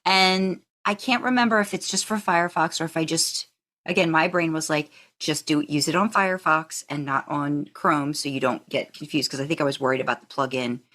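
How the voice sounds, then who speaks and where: A woman with a slightly low voice hosts an interview as a casual chat. She talks informally and loosely, thinking aloud as she goes. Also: fairly even intonation, a bit bright. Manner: informal, rambling, quick to laugh. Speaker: podcast host interviewing a fanfiction author.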